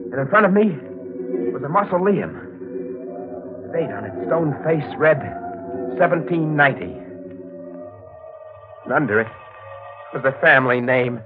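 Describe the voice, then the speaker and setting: A man's voice: a little high, close to an everyday voice. Actor as doomed man in thin old radio sound. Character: doomed treasure-hunting man trapped in a tomb, weakening. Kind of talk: 1940s radio drama first-person narration. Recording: tinny, band-limited 1940s radio, music behind.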